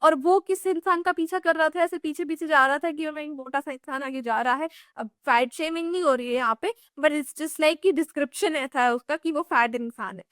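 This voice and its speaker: A young woman with an Indian accent leads a revision lesson for students. Her voice sits high and bright, recorded dry and close with no room sound. She explains in a chatty, conversational way, mixing languages and leaning on fillers. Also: moderate pace, hardly any pauses, dry recording. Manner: chatty code-switching explainer with many "you know" fillers. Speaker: English teacher.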